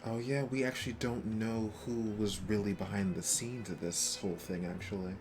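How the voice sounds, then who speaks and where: Man, game streamer, casual livestream chatter, medium-low voice, clearly noisy recording. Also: steady tone, moderate pace. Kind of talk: casual livestream chat with viewers. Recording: clear background noise.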